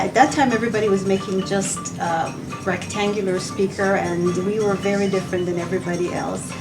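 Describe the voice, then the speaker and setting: A woman giving unscripted promotional answers as a company representative, halting as she searches for words. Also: medium pitch. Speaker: company representative, interview guest. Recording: slightly distant mic, music behind. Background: background music.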